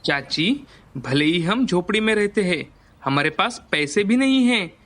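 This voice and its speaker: A man's voice: sits high, lively intonation, measured pace, fairly bright tone. An actor playing a humble but proud poor boy in a moral story, polite and plain-spoken. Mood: humble, dignified.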